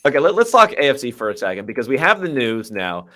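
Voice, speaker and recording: A man's voice: medium pitch, big pitch swings, fast talker, pushed and bright. A man hosting a sports podcast, chatting casually with wry, sarcastic asides. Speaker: podcast host.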